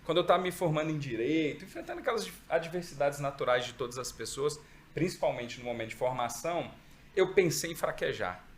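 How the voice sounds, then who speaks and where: A man's voice: a little high, fairly quick, slight background noise. Reflective man with a mineiro accent. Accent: Minas Gerais. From Brazil, raised in Minas Gerais. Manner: relaxed, reflective storytelling with frequent "né?". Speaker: podcast guest. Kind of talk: interview conversation.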